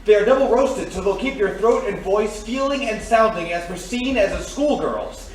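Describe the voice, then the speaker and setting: Casting: earnest vintage radio pitchman, high-pitched and declamatory, drenched in echo. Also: expressive, moderate pace. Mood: salesmanlike. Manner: declamatory, salesmanlike. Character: earnest old-time radio announcer pitching a sponsor. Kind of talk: 1940s radio announcer acting. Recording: very echoey, distant, faint noise.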